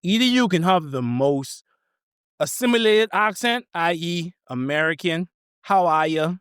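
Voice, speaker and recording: A man's voice: a little high, lively intonation, a little slow, a bit bright, clean tone, dry recording, clean studio sound. A young man with a Bahamian accent hosting a podcast, teasing his guest and laughing a lot in casual chat. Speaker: podcast host.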